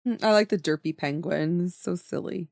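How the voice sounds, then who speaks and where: A woman's voice: lowish voice, a little fast, gravelly, a little mellow, no echo, studio quality. A woman streamer chatting casually with viewers while she draws, often trailing off mid-sentence as she works. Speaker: streamer drawing emotes. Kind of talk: casual chat with chat while drawing.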